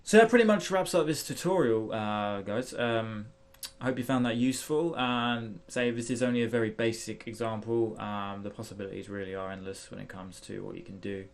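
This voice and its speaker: Low-voiced man, creaky, narrating a tutorial. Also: fairly quick, few pauses. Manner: hesitant, thinking aloud while demonstrating. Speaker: tutorial host. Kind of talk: casual software tutorial narration.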